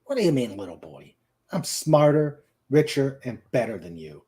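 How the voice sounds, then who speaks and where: A haughty teenage boy from a novel, acted out by a man. He boasts in an animated, swinging voice with a soft-edged, mellow tone. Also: medium pitch, moderate pace, lightly acted. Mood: indignant, boastful. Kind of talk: dramatic novel reading.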